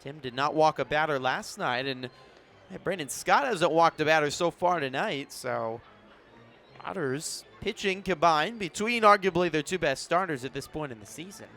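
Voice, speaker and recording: Higher-pitched man calling baseball play-by-play. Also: lively intonation, normal speed, fairly bright tone, faint music behind. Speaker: baseball radio play-by-play announcer. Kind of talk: live sports commentary. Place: broadcast position at an outdoor ballpark in the evening.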